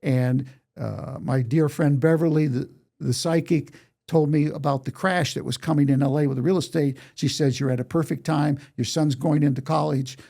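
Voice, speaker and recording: Creaky-voiced elderly man, mellow and storytelling. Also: fairly high pitch, fairly even intonation, moderate pace. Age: 80s. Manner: anecdotal, quoting remembered exchanges. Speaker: interview guest, songwriter and storyteller. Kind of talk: conversational life storytelling.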